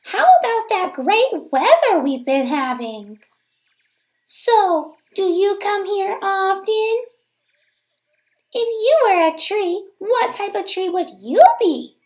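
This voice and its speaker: A woman's voice: very high-pitched, lively intonation, takes it slowly, a little mellow, pitched above the performer's own voice, exaggerated voice. A woman voicing a nervous, fussy little squirrel from a children's picture book, careful and awkwardly over-polite. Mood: awkwardly chatty.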